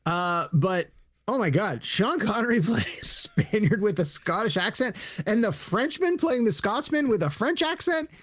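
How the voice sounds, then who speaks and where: A man co-hosting a podcast in casual chat, animated, acting out imagined dialogue as he talks. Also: high voice, normal speed, dry recording. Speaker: podcast co-host.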